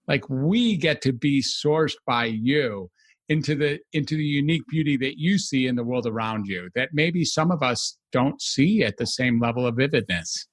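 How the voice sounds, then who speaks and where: A man's voice: mid-range voice, animated, moderate pace, no room sound. Effusive male podcast host. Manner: warm, effusive, affirming. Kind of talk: conversational podcast interview.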